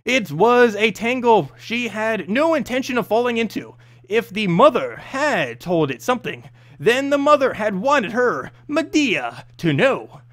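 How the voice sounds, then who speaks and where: A man's voice: extremely high pitch, fairly bright tone. A man reading a scripted fantasy story as narrator, expressively, with an occasional stumble over the text.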